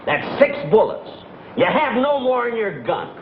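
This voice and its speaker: A man's voice: very high voice, sing-song, a little slow, heavily acted. An actor playing a jittery, exasperated lunch counter man in a 1960s broadcast comedy sketch. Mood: defiant. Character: jittery, put-upon lunch counter man turning bold. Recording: tinny, noisy old broadcast with audience.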